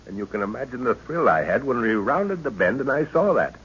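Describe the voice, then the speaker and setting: A man playing a middle-aged professor and father leading an expedition, measured and authoritative, in old-time radio drama style. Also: medium pitch, very animated, a bit bright. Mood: pleased, excited. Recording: muffled, noisy old radio recording.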